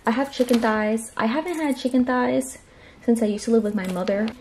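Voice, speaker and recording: Young woman, casual vlog chat, filler-heavy, warm mellow tone, faint hiss in the background. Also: on the high side, brisk. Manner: chatty, filler-heavy, upbeat. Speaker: lifestyle vlogger. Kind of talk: casual chat about groceries and meal prep. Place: small apartment living room.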